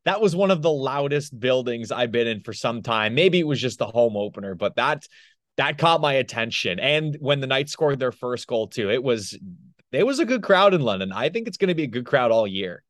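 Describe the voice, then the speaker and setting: Man with mid-pitched voice chatting sports. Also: expressive, moderate pace, dry recording, studio quality. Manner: informal, conversational. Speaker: podcast co-host and arena in-game announcer. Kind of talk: casual sports chat.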